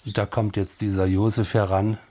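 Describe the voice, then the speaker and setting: An actor playing an onlooker describing a scene in simple colloquial words for a radio drama. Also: male voice, fairly low pitch, little pitch movement, normal speed, warm tone, a little hiss or hum, lightly acted. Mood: quiet wonder.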